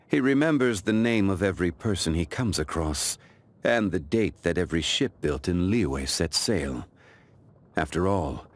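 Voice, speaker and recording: A man playing an ancient, calm, dignified gentleman in a video game, speaking in a formal, measured, reflective way. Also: low-pitched. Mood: wistful, warm. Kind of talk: scripted video game character voice lines.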